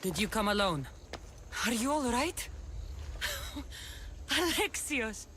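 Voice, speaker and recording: A woman's voice: fairly high pitch, very animated, takes it slowly, background noise. An actress playing a bold warrior woman coaxing her estranged younger brother in a video game cutscene. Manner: determined, coaxing. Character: bold female warrior, eager older sister.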